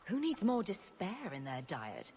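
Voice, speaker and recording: An actress playing a sarcastic, quick-witted champion adventurer with a dry, joking delivery in a video game. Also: female voice, fairly low pitch, wildly swooping pitch, warm tone, long reverb tail, a little hiss or hum, exaggerated voice. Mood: wry.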